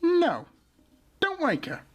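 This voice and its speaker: A woman's voice: high voice, huge pitch swings, slow, full character voice. A woman playing a wicked, greedy old witch in a fairy-tale radio drama, curt and commanding. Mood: bossy.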